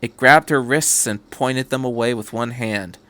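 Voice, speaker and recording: A man's voice: medium pitch, unhurried, sharp tone. Man narrating a dramatic story. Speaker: podcast host and story reader. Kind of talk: scripted narration of fan fiction.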